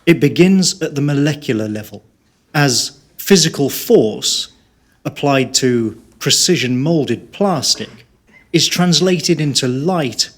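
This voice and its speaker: Pompous design visionary played by a man: unhurried, solemn delivery of grand nonsense for a parody promo. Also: fairly high pitch, animated. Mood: solemn, self-important. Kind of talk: mock promotional monologue at a live show.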